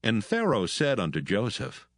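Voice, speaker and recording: A man's voice: fairly low pitch, wildly swooping pitch, normal speed, creaky, slight background noise. A man narrating scripture as a dramatized reading, in a solemn and formal manner. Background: soothing background music.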